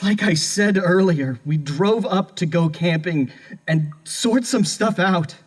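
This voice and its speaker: An actor playing a distraught young husband under interrogation in an audio horror drama, halting, stammering and pleading. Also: male voice, high voice. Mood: guarded, evasive.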